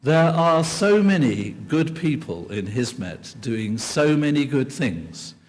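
An older man from England giving an earnest, measured keynote lecture at a podium microphone in a large hall. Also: mid-range voice, big pitch swings. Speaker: keynote speaker. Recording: long hall reverb.

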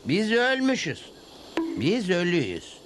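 A man's voice: high voice, wildly swooping pitch, takes it slowly, a little hiss or hum, heavily acted. A man playing a snappish, bossy, hot-tempered little outlaw gang leader in a dubbed cartoon. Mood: scheming. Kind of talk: Turkish-dubbed cartoon acting. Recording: loud music behind.

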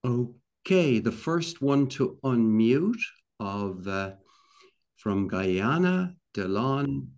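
An older man hosting an online club meeting on a video call, speaking haltingly and repeating phrases. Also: medium pitch, very animated, darkish tone. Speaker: meeting host.

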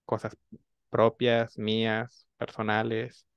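A man in his thirties, a student in an online language class, speaking slowly and reflectively into a desk microphone in a small room. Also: lowish voice, monotone, clear, resonant tone, no echo, muffled recording. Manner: slow, reflective, measured. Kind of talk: online language lesson chat. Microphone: desk mic on boom arm.

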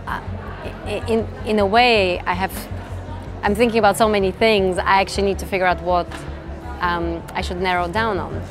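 A woman's voice: mid-range voice, steady tone. Thoughtful woman from Bulgaria, music beneath. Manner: thoughtful, measured, with frequent fillers and restarts. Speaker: interviewee, tech executive. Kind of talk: reflective interview answers. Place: event interview set, indoors. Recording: music bed behind voice.

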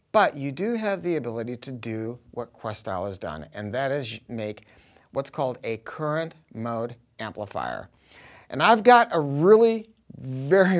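An American man in his sixties presenting audio gear to camera in a blunt, casual, emphatic way. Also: medium pitch. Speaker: audio dealer presenting products. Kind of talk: casual promotional product talk to camera. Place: small acoustically treated listening room.

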